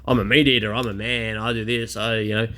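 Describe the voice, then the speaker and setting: A man's voice: fairly low pitch, little pitch movement, a little fast, bright, forceful tone. A man, talking bluntly and casually about his own experience in a personal vlog. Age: 30s. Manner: blunt, chatty, self-deprecating. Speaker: vlogger. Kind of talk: candid personal vlog monologue.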